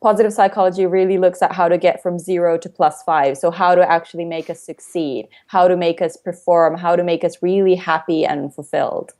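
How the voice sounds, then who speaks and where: A woman's voice: fairly low pitch, steady tone, brisk, few pauses, a little mellow. Chatty, informal woman from Finland. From Finland, with international upbringing. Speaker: coach, motivational speaker, interview guest. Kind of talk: casual explanatory interview talk.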